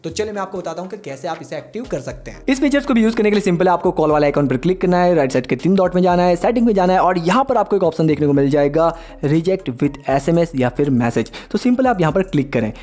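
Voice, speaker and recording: A man's voice: on the high side, a little mellow. A man hosting a smartphone tips tutorial in an informal, chatty way, talking to viewers like buddies. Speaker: tech tutorial host.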